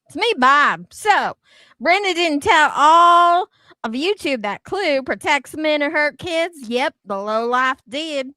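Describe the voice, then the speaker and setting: Female co-host, animated and swooping, fairly high voice, sassy, informal, teasing delivery. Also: takes it slowly, no echo, clean studio sound. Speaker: co-host reacting to viewer comments. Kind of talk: casual chat.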